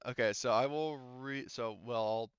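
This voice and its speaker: A man's voice: mid-range voice, unhurried, smooth, no room sound, highs cut off. A man working as game master, running a tabletop roleplaying game in a casual, freely swearing manner.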